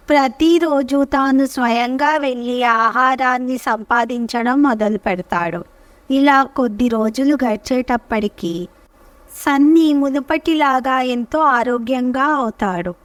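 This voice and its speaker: High-pitched woman telling a children's story slowly. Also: dry recording, far from the mic. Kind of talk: scripted children's story narration.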